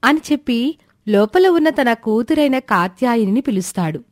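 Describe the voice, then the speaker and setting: A woman's voice: a little high, lively intonation, normal speed, dry recording, clean studio sound. A woman reading a scripted moral tale as the storyteller.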